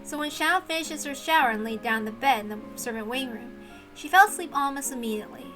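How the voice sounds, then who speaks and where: A woman reading a visual novel aloud as expressive story narration. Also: sits high, quick pace, soft background music, poor audio.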